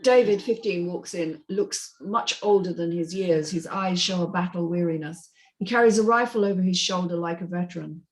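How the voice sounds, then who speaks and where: A woman's voice: fairly low pitch, moderate pace, rough recording. A woman reading a screenplay's stage directions as scripted narration.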